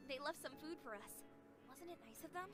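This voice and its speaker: A woman's voice: high-pitched, a little fast, fairly bright tone. A woman voicing a spirited, no-nonsense young female detective in an anime visual novel. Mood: friendly. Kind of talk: visual novel character acting. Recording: game audio under music.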